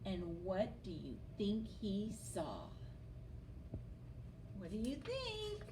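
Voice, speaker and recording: A woman reading a picture book aloud to children as an expressive storyteller who engages her listeners. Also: medium pitch. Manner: expressive, pauses to ask listeners questions. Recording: distant mic, faint background noise.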